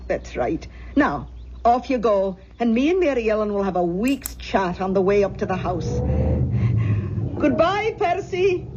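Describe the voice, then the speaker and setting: A woman playing an affectionate, concerned elderly aunt in an old-time radio drama, speaking in a gentle, confiding way. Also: mid-range voice, big pitch swings, slow. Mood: fond, cheerful. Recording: muffled, noisy old radio recording.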